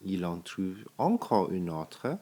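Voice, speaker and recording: A man with a very deep voice reads a picture book to children. He takes it very slowly, phrase by phrase, leaving deliberate pauses. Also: very animated, mellow. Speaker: storybook reader.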